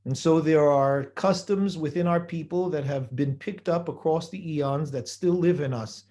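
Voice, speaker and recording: A man giving a measured holiday sermon, building his points through repeated phrases and rhetorical questions. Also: fairly high pitch, warm tone. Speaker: rabbi giving a holiday talk.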